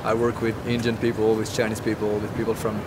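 A French man in his twenties with a French accent, answering interview questions on a city street, thoughtful with many pauses. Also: lowish voice, monotone. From France. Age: 20s. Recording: loud street noise.

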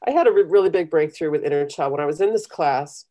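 A middle-aged woman with a low, mellow voice talks on a video call. She coaches conversationally and gives encouraging, step-by-step meditation instructions. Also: fast talker. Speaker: guest leading a guided meditation. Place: small home room, indoors. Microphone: computer video call.

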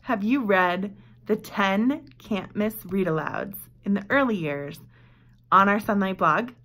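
Female presenter, 30s to 40s, unhurried and deliberate delivery, medium pitch, emphatic phrasing. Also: animated, fairly bright tone. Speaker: presenter for a homeschool curriculum blog. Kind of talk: book recommendation walk-through to camera.

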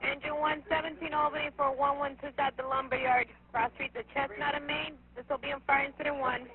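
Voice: high-pitched, level tone, a little fast, crowd noise. A fire dispatcher talking procedurally over a two-way radio, clipped and repeating messages back. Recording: tinny, noisy fire dispatch radio recording.

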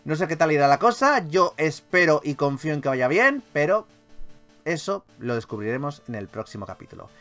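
A man with a Spain accent plays through a video game as its host. His voice sits a little high and swings in pitch as he repeats phrases and throws in swear words. Light game music runs behind him. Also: sing-song, brisk, fairly bright tone. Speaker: gaming YouTuber, host. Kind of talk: casual, excitable Pokémon let's play commentary.

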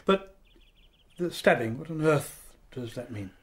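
Formal village dignitary, higher-pitched. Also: male voice, animated, unhurried, husky, heavily acted. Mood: relieved, shaken. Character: proud, formal village dignitary, a troubled bereaved father. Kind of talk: BBC radio drama acting.

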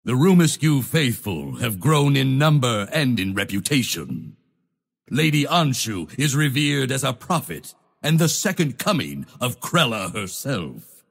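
A male actor playing a proud, zealous holy knight leader in a fantasy video game, with a gravelly, husky voice and grandiose, solemn delivery. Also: medium pitch, very animated, heavily acted. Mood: solemn, calculating. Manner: grandiose, solemn, deliberate declamation. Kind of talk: theatrical fantasy game voice lines.

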